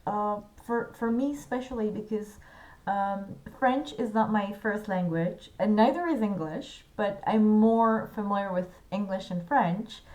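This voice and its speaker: A woman's voice: mid-range voice, unhurried, darkish tone, rough recording. A woman casually explaining a poem she loves, hesitant and earnest, with frequent filler sounds and restarts. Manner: earnest, personal enthusiasm. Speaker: poetry video host.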